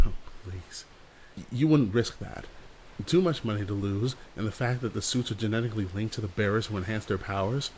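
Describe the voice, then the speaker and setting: A man playing a skeptical, quietly menacing associate in an audio drama, speaking in a deliberate, sardonic, cautioning way. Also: lowish voice, slight background noise, close to an everyday voice, rough recording. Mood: challenging. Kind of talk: amateur audio drama acting.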